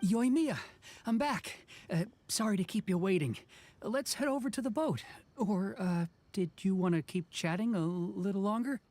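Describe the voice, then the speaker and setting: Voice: medium pitch, normal speed, darkish tone, natural voice. A voice actor playing a courteous, modest young boatwright in a video game, speaking in a reassuring way. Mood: polite.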